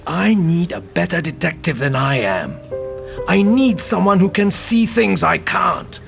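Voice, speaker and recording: A man playing a gruff, blunt, foul-mouthed senior police detective with a gravelly voice in an audio drama. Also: high-pitched, lively intonation, measured pace, over music, full character voice. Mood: intense, persuading. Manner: blunt, persuasive, intense.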